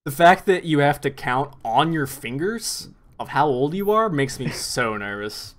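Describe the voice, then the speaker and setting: A man's voice: fairly high pitch, expressive, measured pace, a bit bright. A man, an esports caster, wrapping up a stream in loose, joking chat with some casual swearing.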